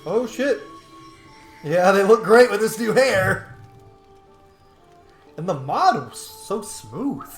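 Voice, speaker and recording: A man's voice: high voice, animated, slow pace, pauses often. A man giving casual gameplay commentary as a streamer, informal, with swearing and joking asides. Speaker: game streamer. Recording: roomy echo on voice. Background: faint game music.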